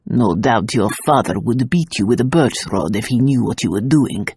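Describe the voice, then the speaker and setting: A woman reading an audiobook voices a stern, whiskered male police officer, speaking gruffly with a scolding manner. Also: extremely low pitch, animated, moderate pace, darkish tone, no echo, clean studio sound, pitched below the performer's own voice, full character voice.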